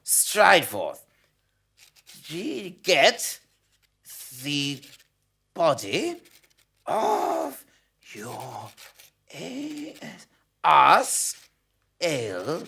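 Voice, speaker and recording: A woman's voice: deep voice, wildly swooping pitch, drawn-out words, creaky, long pauses, fairly bright tone, husky, exaggerated voice. A woman acting a flustered, bewildered investigative journalist in a comic audio drama, stammering and hesitant. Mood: hesitant, anxious.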